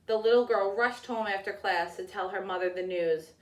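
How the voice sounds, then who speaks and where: A woman narrating a children's storybook as a teacher reading aloud, with deliberate, expressive delivery. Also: mid-range voice, distant mic. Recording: echoey room, away from the mic.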